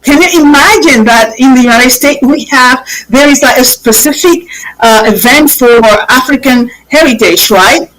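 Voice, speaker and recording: A woman's voice: high-pitched, normal speed, fairly bright tone, rough recording. A woman hosting a live television interview. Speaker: TV show host.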